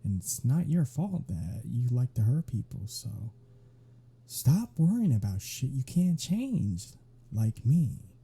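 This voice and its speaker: A man's voice: mid-range voice, very animated, slow pace, gravelly, mellow, breathy edge, heavily acted. A man playing a smug, centuries-old vampire in an intimate ASMR roleplay, teasing with a sarcastic drawl. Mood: wry, exasperated.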